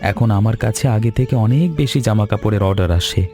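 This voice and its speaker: Man acting devoted weaver host, eager, solicitous, earnest pleading delivery, animated story, background music. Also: on the low side, fast talker, close to an everyday voice. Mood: grateful, eager. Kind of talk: Bengali animated story dialogue.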